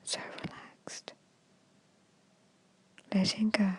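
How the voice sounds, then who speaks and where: A woman whispering a guided meditation in a slow, soothing, hushed storytelling manner, close to the microphone. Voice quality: breathy whisper. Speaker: hypnosis guide.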